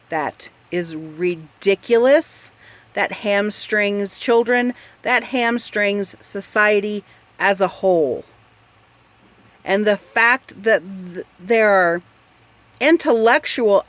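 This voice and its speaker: A woman's voice: mid-range voice, dry recording. A woman host giving opinion commentary in a blunt, exasperated and emphatic manner. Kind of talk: opinion commentary on a news article.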